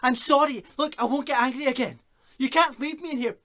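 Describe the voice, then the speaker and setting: An actor in a radio drama playing an anguished, desperate male prisoner, haunted, halting and pleading. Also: very high-pitched, bright, forceful tone. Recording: long echoing reverb, faint noise.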